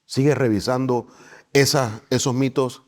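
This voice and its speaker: A man hosting a conversational interview, thinking aloud in short, halting phrases. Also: medium pitch, fairly even intonation, a little mellow. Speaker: interviewer, host.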